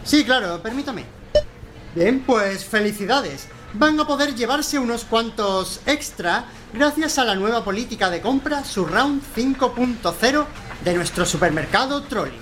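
A man's voice: extremely high pitch, expressive, unhurried, music in the background. A male actor playing a smarmy customer-service salesman in a radio play, with a theatrical, over-polite patter and an accent from Spain. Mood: unctuous welcome. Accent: Spanish from Spain.

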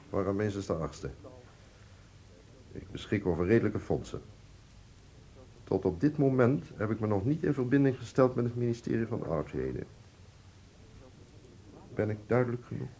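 An actor playing a shrewd, self-assured museum doctor in a radio drama, measured, dry and authoritative. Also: male voice, medium pitch, darkish tone, lightly acted. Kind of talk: radio drama acting in Dutch.

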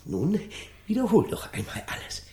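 An actress playing a sly, scheming furnace creature in a children's radio play, coaxing and instructing in a crafty way. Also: female voice, on the low side, wildly swooping pitch, moderate pace, dark tone, echoey room. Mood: conspiratorial, instructing.

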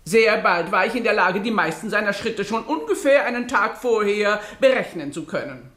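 A woman's voice: mid-range voice, expressive, moderate pace, a bit bright, close to an everyday voice. An actress as a prim female witness, roomy echo. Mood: prim, self-satisfied. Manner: formal, precise, indignant. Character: prim, self-righteous, offended lady witness, formal and precise. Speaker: actress in a radio drama. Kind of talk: German radio drama acting, witness testimony.

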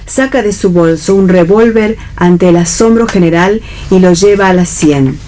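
A woman's voice: fairly low pitch, moderate pace, dark tone, slight background noise. A woman reading stage directions as narrator of a radio play. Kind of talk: read narration for radio theatre.

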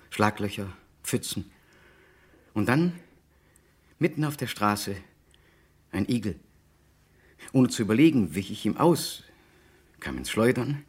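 A man's voice: on the low side, breathy edge. A man acting an anxious, tormented client in a 1960s German radio play, speaking hesitantly and broodingly as he confides. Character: anxious, tormented man seeking a private detective's help.

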